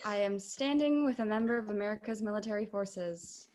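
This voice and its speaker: A woman's voice: mid-range voice, a little fast, a little mellow, close to an everyday voice. A young woman in her late teens playing an earnest, formal radio news reporter in a comic radio play. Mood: dramatic. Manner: formal, dramatic announcing. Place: home room, on a video call.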